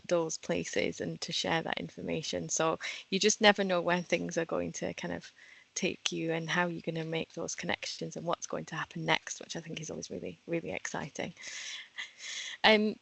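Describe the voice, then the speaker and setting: Bright, gravelly woman moderating. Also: lowish voice, low-quality recording. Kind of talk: panel moderation.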